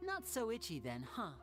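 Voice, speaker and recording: A man voicing a charming, playful young stage magician in a game, smooth and theatrical. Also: high voice, exaggerated voice. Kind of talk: game cutscene voice acting.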